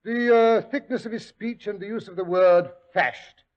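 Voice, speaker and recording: Hesitant, blustery doctor sidekick with a high voice, old radio drama, played by a man. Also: moderate pace, close to an everyday voice. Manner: hesitant, full of uhs, blustery. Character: bumbling, good-natured male doctor sidekick. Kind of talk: 1940s radio acting. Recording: dull old radio broadcast.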